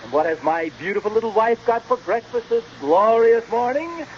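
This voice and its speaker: Sitcom dad: high, very animated voice, genial bumbler, muffled old radio sound. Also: male voice, moderate pace, a little hiss or hum. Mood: cheerfully effusive. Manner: hesitant, bumbling, effusive. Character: genial, befuddled young husband and father. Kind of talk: 1940s radio sitcom acting.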